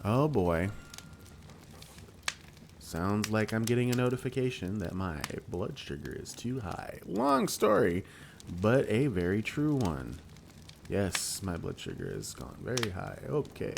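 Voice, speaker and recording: Brief: low-voiced man, lively delivery, dry jokes over a horror game, noisy mic. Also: unhurried, vocal fry. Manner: wry asides while reading game text aloud. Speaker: let's play host. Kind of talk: casual gameplay commentary.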